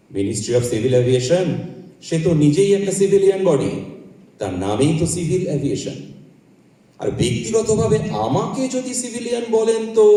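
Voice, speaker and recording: A man's voice: high-pitched, dark tone. A man acting in a Bengali audio drama as a bold, probing air force wing commander, polite but sardonic, insistent and articulate. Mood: wry, firm. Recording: very echoey room, far from microphone.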